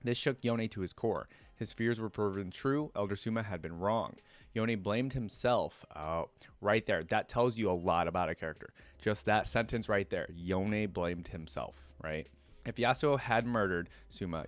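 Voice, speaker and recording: A man giving casual reaction commentary, reading aloud and thinking out loud in an informal, musing way. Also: on the low side. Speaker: reaction video creator.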